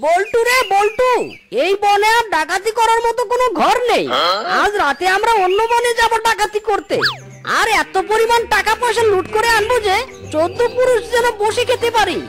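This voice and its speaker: An actor voicing a male bandit fox boss in a cartoon, cocky but cowardly, loud and exclaiming, with music behind. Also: very high-pitched, normal speed. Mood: boastful. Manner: loud, exclaiming, swinging from threats to pleading. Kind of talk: cartoon voice acting.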